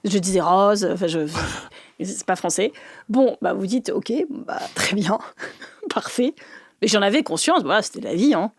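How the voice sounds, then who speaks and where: A woman from the north of France, a politician guest in an interview, talking fast and animated with laughing asides and many restarts. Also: medium pitch, slightly rough, no room sound, studio quality. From northern France, mining basin. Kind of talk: lively conversational interview.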